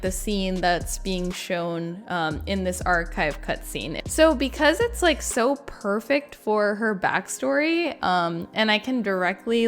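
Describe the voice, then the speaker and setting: Female gaming creator, casual and chatty, mid-pitched voice, off-the-cuff reaction commentary over music. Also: a bit bright. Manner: chatty and informal, frequent "like" and "you know".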